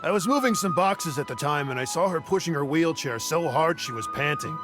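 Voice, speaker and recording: Man playing a higher-voiced, helpful townsman. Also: expressive, fairly quick, music in the background, lightly acted. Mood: thoughtful, concerned. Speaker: video game character voice. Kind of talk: scripted game dialogue.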